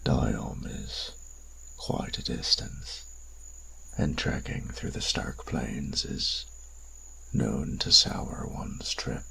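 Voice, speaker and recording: A man playing a suave, menacing old vampire in an audio drama, silky and formal, with a breathy, gravelly voice. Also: rumbling low, sharp tone, slight background noise, exaggerated voice. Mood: charming, courtly. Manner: silky, formal, theatrically unhurried. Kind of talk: scripted audio roleplay acting.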